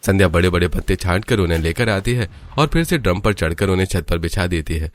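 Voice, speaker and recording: A man's voice: low-pitched, animated, fast, a little hiss or hum. A man narrating a scripted cartoon storybook tale as the narrator.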